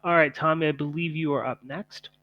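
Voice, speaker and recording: A man's voice: a little high, steady tone, fairly quick, muffled recording. A man acting as game master, talking casually as he runs a tabletop roleplaying game.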